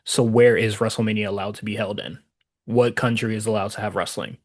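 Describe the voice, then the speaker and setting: A man's voice: lowish voice, steady tone, fairly quick. Laid-back, laughing male podcast co-host. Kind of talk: casual chat.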